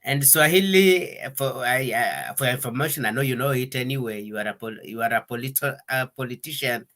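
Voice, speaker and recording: A male interviewer with a bright, forward tone and an average pitch. He speaks in a halting, conversational way, full of fillers and false starts, and sometimes switches into French. He keeps pressing his point as he talks. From Congo. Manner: hesitant, many fillers and restarts, switches between English and French. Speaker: interview host. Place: Denmark.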